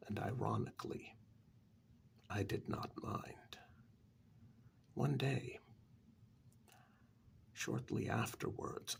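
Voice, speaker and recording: A man in a soft-spoken ASMR story role-play, narrating a horror tale in a breathy, gravelly half-whisper as an asylum director, pausing between short phrases. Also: lowish voice. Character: asylum director calmly recounting eerie past events. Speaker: narrator telling a horror tale in first person.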